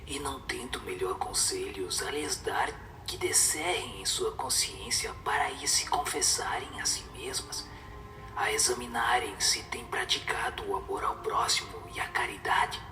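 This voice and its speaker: A man voicing a stern superior spirit in a breathy whisper, giving solemn, severe moral counsel in an audio drama. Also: medium pitch, a little slow, pushed and bright, reverberant, faint music behind, pitched below the performer's own voice. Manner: solemn, admonishing. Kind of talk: Portuguese-language audio drama of a spiritist book.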